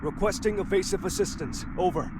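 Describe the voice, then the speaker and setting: A man's voice: sits high, a little fast, heavy background noise, lightly acted. A man voicing an alarmed airline captain in a video game. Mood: tense, controlled. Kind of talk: English game voice acting.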